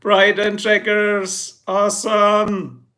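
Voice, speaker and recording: A man's voice: high-pitched, completely flat delivery, extremely slow, bright, forceful tone, slight background noise. A man with a German accent hosting a livestream, chatting casually with viewers in a joking, rambling way and laughing often. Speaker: livestream host.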